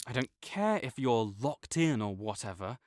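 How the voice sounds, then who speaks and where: A man's voice: mid-range voice, very animated, moderate pace, darkish tone, dry recording, studio quality, natural voice. A young man playing a prickly male academic rival who is grudgingly kind, talking teasingly and defensively to a silent listener in an audio roleplay. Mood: bickering.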